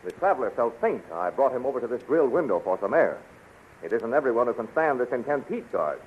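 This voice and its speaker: An actor playing a cunning, quietly commanding captive captain in a 1930s radio serial, giving clipped, measured lines. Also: male voice, mid-range voice, big pitch swings, close to an everyday voice. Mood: bluffing. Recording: muffled, noisy 1930s radio recording.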